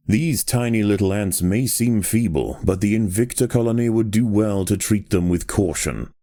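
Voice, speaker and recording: A man narrating a scripted nature documentary in a measured, solemn way with precise enunciation. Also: low-pitched, dark tone, no room sound, clean studio sound.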